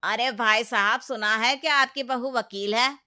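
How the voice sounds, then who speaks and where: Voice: medium pitch, measured pace, pushed and bright, clear, resonant tone. A performer voicing a village council elder in a Hindi cartoon story, earnest, blunt and authoritative.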